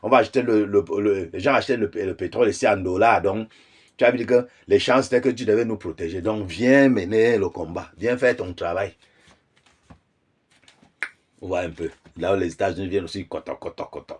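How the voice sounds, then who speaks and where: A man's voice: fairly low pitch, fairly quick. A man giving casual commentary, explaining his point with rhetorical questions and frequent chuckles.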